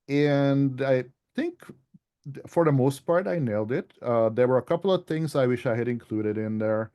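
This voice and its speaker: Guy doing a casual club slide talk, mellow relaxed voice, chatty and kinda self-deprecating. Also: medium pitch. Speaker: author giving a club presentation. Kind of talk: informal slide presentation.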